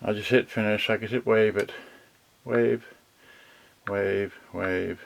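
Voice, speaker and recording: A man's voice: fairly low pitch, steady tone, takes it slowly, vocal fry. A man giving a casual, unscripted unboxing as host, rambling and thinking aloud, often trailing off mid-thought. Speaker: host of an electronics unboxing channel. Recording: noisy home video with clicks.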